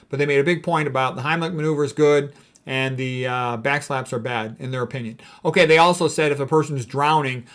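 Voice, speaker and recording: Male physician, mid-pitched voice, informal lecture style, reads quotes then adds blunt, emphatic opinion. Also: a bit bright. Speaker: doctor commenting on diet and medicine.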